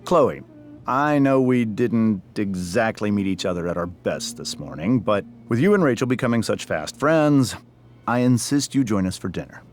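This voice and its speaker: A man playing a courteous, insistent middle-aged father in a video game, with a formal, smooth manner. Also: on the low side, expressive, normal speed, soft background music, natural voice. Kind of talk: video game cutscene acting.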